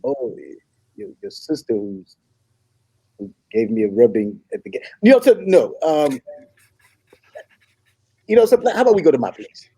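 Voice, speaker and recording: A man in a comedy table read playing an apologetic, flustered suitor who stammers and fibs, very polite and halting. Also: medium pitch, animated, dark tone, slightly rough, dry recording, rough recording. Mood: flustered, evasive. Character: apologetic, flustered man, nervously fibbing to impress his date.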